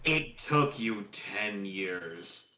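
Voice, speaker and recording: A man with a bright, sharp voice chats as a tabletop player, joking with mock exasperation. The sound is roomy and echoey, with faint noise. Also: fairly low pitch, animated, drawn-out words. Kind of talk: casual chat.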